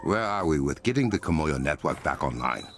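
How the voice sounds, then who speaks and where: Deep, solemn African warrior king. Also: very animated, slight background noise, heavily acted. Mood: commanding. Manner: commanding, formal, measured. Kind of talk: video game voice acting.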